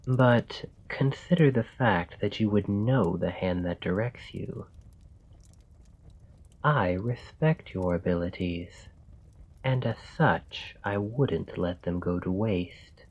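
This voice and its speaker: Guy doing a calm scheming king type for asmr roleplay, really deep and slow, formal, kinda muffled audio. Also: husky, heavily acted. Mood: bargaining, earnest. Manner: measured, formal, deliberate speech. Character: calm, calculating male royal bargaining with his assassin. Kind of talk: ASMR roleplay, scripted dramatic monologue.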